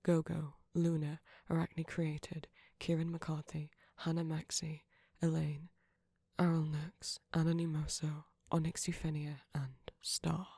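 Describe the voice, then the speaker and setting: Casting: audio creator reading channel outro, gravelly, breathy, mid-pitched, very dry close recording. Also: monotone, unhurried, darkish tone, no echo. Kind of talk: scripted channel outro, thanking patrons.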